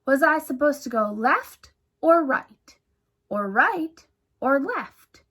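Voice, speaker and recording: A woman's voice: sits high, sing-song, slow pace, a bit bright, voice pushed up, exaggerated voice. A woman voicing an absent-minded young boy bunny in a children's storybook, polite, eager and questioning. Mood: pondering.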